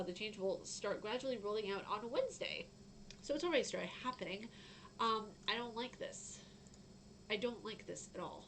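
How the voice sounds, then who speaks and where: Chatty low-voiced woman streamer. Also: a little hiss or hum, low-quality recording. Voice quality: fairly low-sounding voice. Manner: chatty, rambling, frequent fillers and self-interruptions. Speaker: gaming news streamer. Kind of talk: casual live-stream chat.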